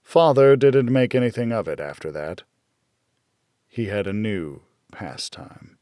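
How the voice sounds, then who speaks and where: Slow, measured male audiobook narrator. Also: lowish voice, sing-song, darkish tone, no room sound, clean studio sound. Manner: measured, dramatic storytelling. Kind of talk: scripted first-person narration of a dark thriller novel.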